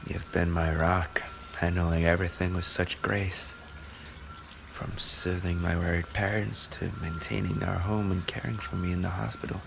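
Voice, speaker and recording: Man acting a low, gravelly, tender boyfriend. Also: little pitch movement, moderate pace, background noise. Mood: tender, grateful. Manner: soft, tender, often dropping to a whisper. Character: affectionate injured male race car driver boyfriend, tender, grateful. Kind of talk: intimate boyfriend roleplay audio.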